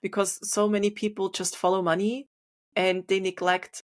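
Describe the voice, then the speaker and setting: A woman hosting an interview, asking measured questions in an encouraging, chatty way. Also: medium pitch, little pitch movement. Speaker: podcast host. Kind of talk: casual interview chat.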